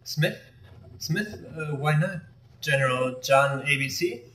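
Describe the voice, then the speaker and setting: A man doing an affected, foppish gentleman. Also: fairly high pitch, animated, slow pace, voice pushed down, full character voice. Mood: evasive. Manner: rambling, affected, evasive. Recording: echoey room, mic far off.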